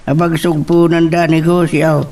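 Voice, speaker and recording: A kindly father in a radio drama. He talks slowly in a high, creaky voice, consoling and patient, recorded at a distance. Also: level tone, warm tone. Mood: soothing. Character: slow, kindly father comforting his daughter.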